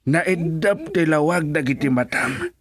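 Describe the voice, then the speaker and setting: A man's voice: fairly high pitch, expressive, measured pace, fairly bright tone. A man playing a stern, reproachful father in a radio drama, scolding with dramatic weight. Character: stern, reproachful father of a wayward grown daughter.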